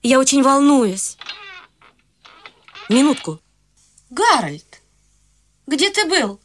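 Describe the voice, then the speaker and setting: A woman's voice: high-pitched, very animated, drawn-out words, a little hiss or hum, exaggerated voice. A middle-aged actress playing a fussy, anxious society mother in a studio radio play, chattering away. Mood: fretful. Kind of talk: studio radio drama acting.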